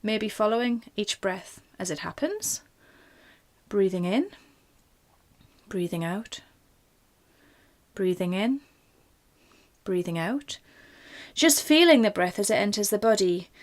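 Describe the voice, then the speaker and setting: Woman doing a guided meditation, gentle and reassuring, kinda informal, medium pitched voice. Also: expressive, slow, pauses often. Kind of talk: guided body scan meditation.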